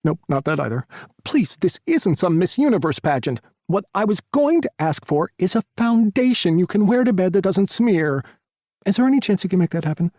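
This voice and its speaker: A man's voice: high voice, very animated, moderate pace, a little mellow, dry recording, studio quality, pitched above the performer's own voice. A man voicing a sassy, flamboyant young male veterinarian in his twenties, camping it up on a mock phone call. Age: 20s.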